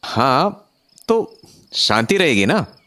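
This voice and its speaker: A man's voice: mid-range voice, very animated, slow, bright, forceful tone, exaggerated voice. An actor playing an easygoing, dismissive husband and young father in a horror audio drama, speaking colloquially and telling the story. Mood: casual, dismissive. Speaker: actor and first-person narrator. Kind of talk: Hindi horror audio drama, acted dialogue and narration.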